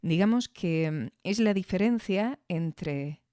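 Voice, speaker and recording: A podcast host giving a reflective spoken analysis, measured and didactic, often checking in with questions. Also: mid-range voice, expressive, warm tone, clear, resonant tone, dry recording, studio quality.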